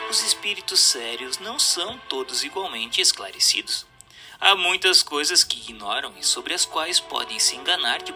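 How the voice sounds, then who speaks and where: Male Brazilian narrator, higher-set and very bright, sharp tone; formal didactic audiobook read with faint music underneath. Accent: Brazilian Portuguese. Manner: formal, measured, didactic reading. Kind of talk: scripted narration of a spiritual text.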